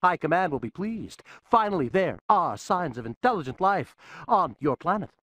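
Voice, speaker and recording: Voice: medium pitch, big pitch swings, a bit bright, no echo. A man voicing a solemn, pompous alien overseer with a grandiose manner in a cartoon game fan dub. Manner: grandiose, deliberate.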